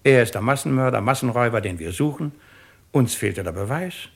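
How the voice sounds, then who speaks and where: An actor playing an imperious, courtly court president, declaiming formally and with irony in a 1950s radio play. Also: male voice, mid-range voice, expressive, brisk, vocal fry, slightly rough. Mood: triumphant, ironic. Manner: formal, theatrical, emphatic declaiming.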